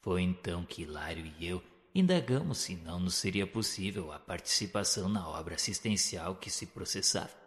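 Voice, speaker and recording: A Brazilian man delivers formal, dramatic narration for a radio novel. His voice sits on the low side. Long hall reverb trails behind his literary, solemn phrasing.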